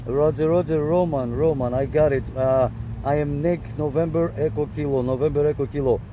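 A man's voice: fairly high pitch, fairly even intonation, fairly quick, background noise. Man chatting on CB radio from his car. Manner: radio lingo, repeats phrases, many fillers. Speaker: CB radio operator and vlogger. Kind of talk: casual radio chat and on-camera walkthrough. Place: parked car in a city lot, then outdoors.

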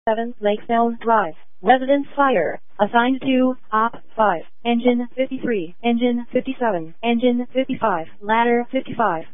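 A woman's voice: a little high, no echo. A woman dispatcher reading out units and locations in a clipped, formulaic way over two-way radio. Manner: clipped, formulaic, itemized delivery. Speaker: emergency dispatcher. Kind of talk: procedural radio dispatch announcement. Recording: narrow phone-like scanner radio audio.

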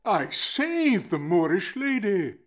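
Deluded old knight for puppet film: very high, slow, earnest, chivalrous. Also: male voice, very animated, heavily acted. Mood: earnest, solemn.

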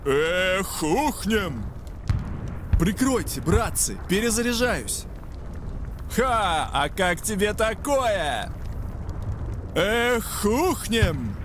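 An actor playing a bold, hearty Cossack soldier in a video game, calling out rousing, folksy battle barks. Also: male voice, high-pitched, sing-song, extremely slow, long pauses, background noise, heavily acted. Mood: urgent.

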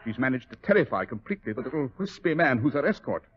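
An actor playing a brisk, teasing, aloof British gentleman detective in a 1940s radio drama, with a clipped, dry delivery. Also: medium pitch, sing-song, breathy edge. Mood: breezy, amused. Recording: muffled, hissy 1940s radio recording.

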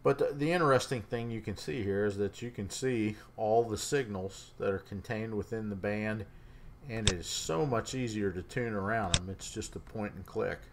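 A man's voice: on the low side, normal speed, a little hiss or hum. A man giving a casual, unscripted demonstration as a radio hobbyist.

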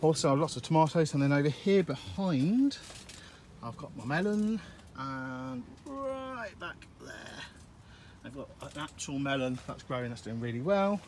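A man's voice: on the high side, measured pace, a little hiss or hum. A man giving a casual, rambling walkthrough of his allotment and polytunnel, filmed on a handheld phone outdoors. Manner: relaxed and rambling, with frequent uhs. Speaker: allotment gardener giving a tour. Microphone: handheld phone camera, filming while walking.

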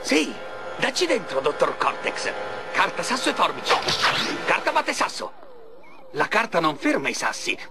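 Wildly swooping boastful mad scientist. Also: male voice, sits high, sing-song, moderate pace, creaky, long pauses, music in the background, exaggerated voice. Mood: agitated, sarcastic. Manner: grandiose, erratic, over-the-top. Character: boastful, resentful mad scientist sidekick, adult man. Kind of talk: Italian cartoon dub for a video game.

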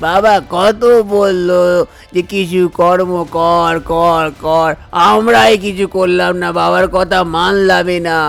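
Guy doing anxious young village lad in a cartoon, high n creaky. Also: fairly even intonation, slow, a bit bright, full character voice. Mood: wailing grief. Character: idle young village son, anxious and grieving. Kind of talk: Bengali cartoon story dialogue.

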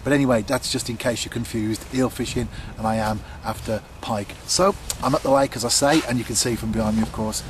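A middle-aged man records an outdoor fishing vlog by a lake, with clear wind noise around his voice. He sits at a middling pitch and speaks in a measured, casual, explanatory way straight to the camera. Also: steady tone. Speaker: angling vlogger. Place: outdoors at a lakeside.

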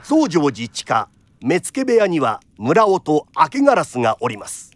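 An actor playing a lively storyteller, narrating a radio drama in theatrical, punchy traditional phrasing. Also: male voice, a little high, a little slow, no room sound, exaggerated voice. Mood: brisk narration.

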